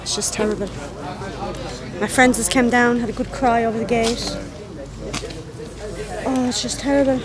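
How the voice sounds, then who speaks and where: A woman's voice: on the high side, steady tone, moderate pace, pauses often. A woman in her thirties speaking emphatically in an outdoor interview, repeating phrases as she goes. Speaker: resident interviewee. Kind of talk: spontaneous interview talk. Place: outdoors at night. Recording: outdoor crowd noise behind.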